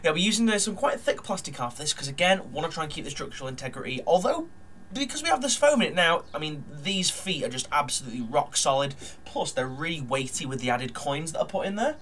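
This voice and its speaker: Guy with a stuffy nose from a cold, chatty and rambling, a bit higher voice, faint hiss behind. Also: big pitch swings, fast, a bit bright. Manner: rambling, chatty, lots of ums and uhs. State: nasal and bunged up from a cold. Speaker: hobby model builder vlogger. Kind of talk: casual narration of a build. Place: at his desk at home.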